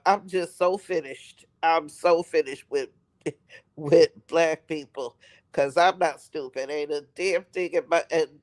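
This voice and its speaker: A man with a raspy voice from a head cold, rambling on a live stream and repeating himself for emphasis. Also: a little high. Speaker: livestream host. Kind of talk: casual live-stream commentary.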